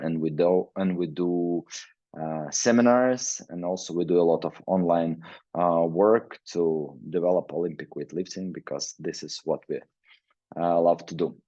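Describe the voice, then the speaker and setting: A man from Ukraine giving a webinar talk in halting English, with frequent fillers. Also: low-pitched, mellow, no room sound, highs cut off. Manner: halting non-native English, many fillers. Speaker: guest presenter, weightlifting researcher. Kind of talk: webinar presentation. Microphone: video call.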